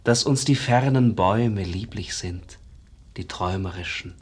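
An actor playing a rapturous, devoted pupil of a master painter, reciting exalted verse in stage German for a 1950s radio drama. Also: male voice, lowish voice, a little slow, husky. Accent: standard stage German. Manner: exalted, rhythmic, lyrical.

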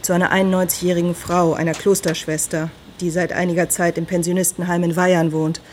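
An actress in a radio drama playing a brisk, frank hospital doctor who talks in a direct, matter-of-fact way. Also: female voice, lowish voice, little pitch movement, slight background noise, lightly acted. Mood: matter-of-fact, concerned.

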